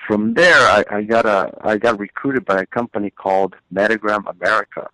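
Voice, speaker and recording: A middle-aged man from Chile recounts his working life in a relaxed, conversational way. He often stops on fillers and repeats words. His voice is low and sounds dry and close. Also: a little fast, no echo, poor audio. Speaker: guest, business owner. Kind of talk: conversational storytelling.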